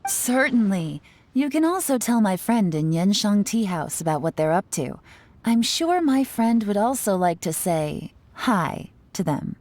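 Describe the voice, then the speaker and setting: An actress playing a poised, shrewd young woman investigator in a video game, measured and slightly theatrical, with courteous phrasing. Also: mid-range voice, sing-song, a little mellow, no room sound. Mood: gentle, probing. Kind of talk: scripted video game voice acting.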